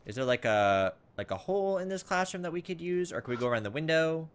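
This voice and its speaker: A man's voice: on the high side, lively intonation, quick pace, clear, resonant tone. A man giving casual gameplay commentary as a let's play host, thinking aloud in a hesitant, musing way.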